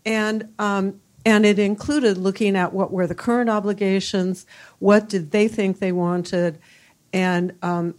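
A woman's voice: medium pitch, a little mellow. An older woman answering on a university panel, speaking in a reflective way with many fillers. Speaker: panelist, former university provost.

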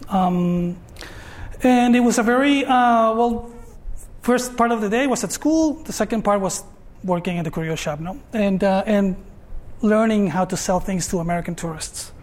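A man's voice: high voice, normal speed. A man in his fifties from Tijuana with a Mexican accent, speaking as an artist guest in a hesitant, filler-heavy way, ending phrases with "no?". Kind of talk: conversational talk on stage.

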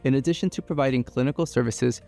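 Guy reading a team overview, gravelly, calm, light music behind. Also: medium pitch, fast talker. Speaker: team member. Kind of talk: scripted presentation.

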